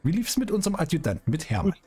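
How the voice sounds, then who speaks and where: A middle-aged man, chatting casually as a streamer in a role-play game with drawn-out, teasing phrasing. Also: a little high, huge pitch swings, dark tone, breathy edge. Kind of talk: casual in-character gaming chat. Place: indoor streaming room. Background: music.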